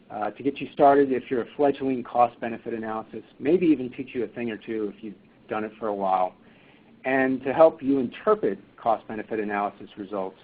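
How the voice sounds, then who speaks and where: Man, husky, halting webinar moderator, tinny phone-line sound. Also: medium pitch. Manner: halting, many fillers and restarts. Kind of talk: webinar presentation.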